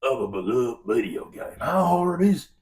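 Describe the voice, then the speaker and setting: A man's voice: mid-range voice, very animated, normal speed, a little mellow, distant mic. An American man giving an opinionated, exasperated film review rant in a folksy, emphatic way. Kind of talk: opinionated movie rant to camera.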